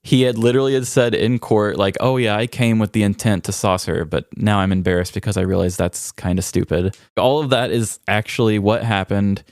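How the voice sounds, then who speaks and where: A man's voice: lowish voice, fairly even intonation, brisk, few pauses, a little mellow, no echo, studio quality. An American man co-hosting a podcast, chatting casually and conversationally about stories. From United States. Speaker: podcast co-host. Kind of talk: casual chat about odd crime stories.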